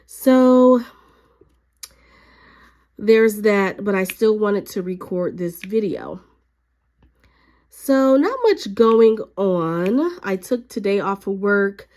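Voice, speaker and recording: Slightly raspy woman chatting while crafting. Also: mid-range voice. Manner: chatty, relaxed, frequent fillers. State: a little sick, raspy. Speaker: hobby vlogger. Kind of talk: casual crafting chat.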